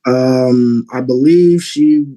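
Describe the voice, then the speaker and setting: A man in his thirties from Texas, answering a judge's questions in court. His pitch is middling for a man. He speaks politely and haltingly, often with fillers. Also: dark tone. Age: 30s. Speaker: litigant father.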